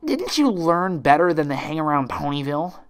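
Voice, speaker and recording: A man's voice: fairly high pitch, big pitch swings, normal speed, voice pushed up. A man voicing a brash, hostile young female pegasus, confrontational in manner, in a story reading. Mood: aggressive.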